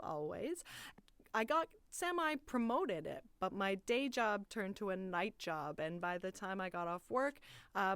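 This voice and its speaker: Chatty young canadian woman talking about herself, smooth voice, dead dry mic. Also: medium pitch, dry recording. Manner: chatty and upbeat. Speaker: voice actor. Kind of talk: personal bio monologue. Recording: dry, clean studio audio.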